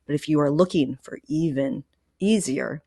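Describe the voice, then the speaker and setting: Lower-pitched woman, casual podcast host. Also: normal speed, mellow, breathy edge. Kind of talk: casual podcast chat, addressing listeners.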